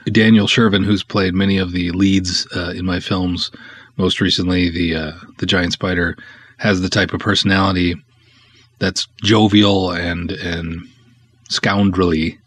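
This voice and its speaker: A man with a low, husky voice talks solo as a podcast host. His delivery is casual and conversational, with enthusiasm and emphatic stresses on key words. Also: little pitch movement, a little slow, fairly bright tone. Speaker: podcast host, film writer-director.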